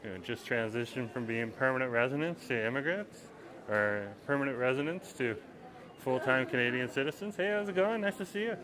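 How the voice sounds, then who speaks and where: A man chats his way through a packed outdoor festival, rambling and easygoing as he streams from his phone while walking. Clear festival crowd chatter fills the air around him. His voice sits at an ordinary middle pitch for a man. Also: lively intonation, a little fast, rough recording. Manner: rambling, chatty, reads viewer comments aloud. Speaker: livestream vlogger. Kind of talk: casual walking-tour commentary. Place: outdoors at a busy waterfront festival. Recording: loud crowd noise outdoors.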